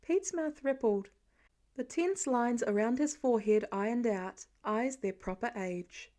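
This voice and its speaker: High-voiced woman narrating fiction. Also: measured pace, dark tone. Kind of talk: expressive scripted story narration.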